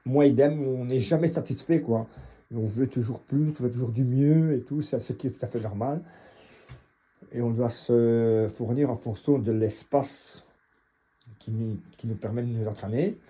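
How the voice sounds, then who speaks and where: A middle-aged man from the Mons region with a Belgian French accent and a husky voice, giving a casual unscripted tour in a halting, blunt, colloquial way. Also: medium pitch, mellow. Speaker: home gym owner and vlogger.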